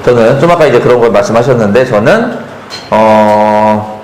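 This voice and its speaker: A man moderating a panel talk concert, relaxed and joking, filling pauses with hums and affirmations. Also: fairly low pitch, soft background music. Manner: relaxed, jokey, lots of fillers and affirming hums. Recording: echoey hall with faint noise.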